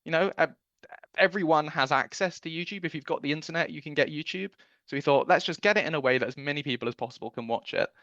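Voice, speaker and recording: A man's voice: fairly high pitch, fast talker, a bit bright, dry recording, highs cut off. A man giving a conversational radio interview as a guest producer, speaking in an earnest, enthusiastic way.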